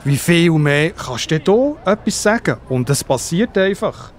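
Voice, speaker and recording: Man acting a slow-spoken, earnest Roman centurion. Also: fairly high pitch, big pitch swings. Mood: earnest trust. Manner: earnest, pleading. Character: Roman centurion, a worried, humble man of firm faith. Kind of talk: children's audio play acting.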